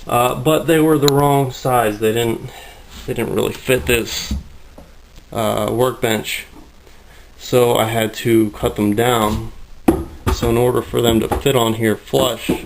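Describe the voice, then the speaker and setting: A man giving a casual tour of his home workshop, rambling through his explanations with lots of fillers, out of breath and getting raspy in the heat. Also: fairly low pitch, background noise. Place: hot, humid home workshop.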